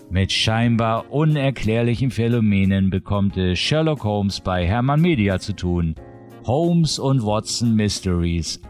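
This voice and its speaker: A man's voice: fairly low pitch, darkish tone. A man presenting a roundup of new releases in scripted announcement narration.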